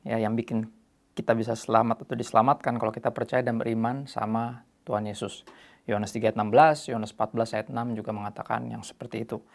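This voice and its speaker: Gravelly-voiced male church show host. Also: mid-range voice, fast. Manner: relaxed slangy delivery, quoting scripture. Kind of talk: casual preaching talk.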